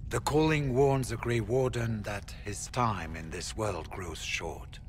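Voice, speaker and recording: Casting: duty-bound veteran warrior for fantasy game, solemn, formal delivery, lowish pitch. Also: male voice, slow, slight background noise. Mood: ominous, resolute. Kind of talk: dramatic fantasy game acting.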